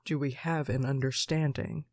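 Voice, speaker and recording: A woman playing a blunt, literal-minded warrior who speaks in a deadpan, formal way in an audio drama. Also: very deep voice, moderate pace, a little hiss or hum. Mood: blunt, cold.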